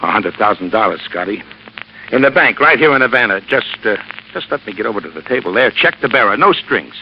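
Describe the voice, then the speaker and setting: A man's voice: lowish voice, very animated, brisk. An actor playing a crooked, wheedling American gangster with slangy tough-guy talk in a 1940s radio drama. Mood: wheedling, nervous. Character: crooked, wheedling gangster villain, cocky then desperate. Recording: muffled, noisy old radio recording.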